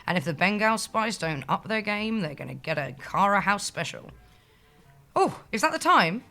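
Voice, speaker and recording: A woman's voice: medium pitch, very animated, moderate pace, bright, forceful tone. A woman playing a lazy, shameless receptionist in an audio sitcom, with a flippant, dry manner.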